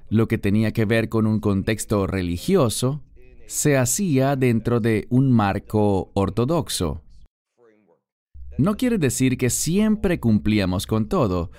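Animated man telling his story. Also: on the low side, dry recording. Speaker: interview guest.

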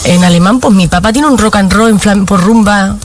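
A woman's voice: mid-range voice, a little fast, a little hiss or hum. A woman with an Andalusian accent, a flamenco singer from Granada, chatting in an interview, laughing often and talking in short loose fragments. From Granada, Spain. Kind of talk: casual interview chat.

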